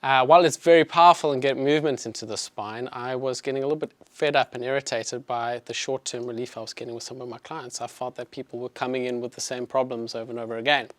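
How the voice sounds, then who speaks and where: A man's voice: medium pitch, steady tone, fast, hardly any pauses, dry recording. Chatty South African man giving a talk. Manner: friendly and conversational, with many fillers. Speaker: chiropractor giving an evening presentation. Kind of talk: public health talk to an audience. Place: clinic presentation room.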